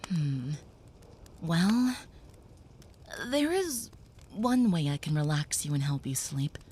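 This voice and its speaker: A woman voicing a gentle young female vampire who soothes a human listener, speaking softly and often in a whisper, in an audio roleplay. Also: low-pitched, big pitch swings, takes it slowly, slight background noise, heavily acted. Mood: soothing.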